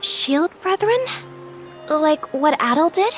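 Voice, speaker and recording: A woman's voice: high voice, lively intonation, takes it slowly, soft background music. A woman acting an earnest young innkeeper's daughter in a video game cutscene. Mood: shocked.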